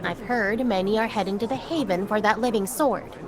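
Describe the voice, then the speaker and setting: A woman's voice: mid-range voice, a little fast, crowd noise, lightly acted. An actress playing a sly, bold female wanderer in a video game, teasing and cheeky. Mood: teasing, probing. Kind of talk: video game cutscene acting. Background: background music.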